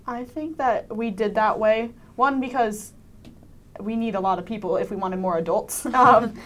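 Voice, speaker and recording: A woman's voice: on the high side, moderate pace, fairly bright tone. A teenage young woman, a student actor, talks casually in a panel interview, hesitating and often dropping in "like". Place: indoor panel, seated group.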